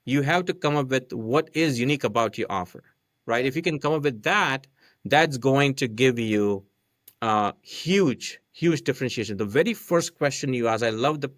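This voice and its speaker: A man's voice: medium pitch, animated, normal speed, no room sound, studio quality. A man whose first language is not English, a podcast guest explaining a marketing method step by step and often checking in with "right?". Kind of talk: casual explanatory chat.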